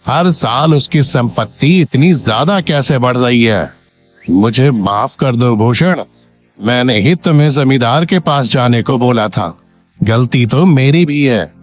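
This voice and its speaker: A man playing a wealthy, kindly and clever village merchant in a cartoon moral story, speaking politely and earnestly. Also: medium pitch. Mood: grave concern. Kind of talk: Hindi animated moral story acting. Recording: dull lo-fi sound, faint music bed.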